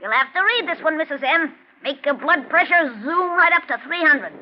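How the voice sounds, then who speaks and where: A woman's voice: high voice, animated, moderate pace. A performer, a woman, playing a brash, friendly little male vaudeville acrobat with breezy slang in a 1940s radio drama. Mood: excited, playful. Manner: breezy, slangy showman patter. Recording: muffled, noisy 1940s radio recording.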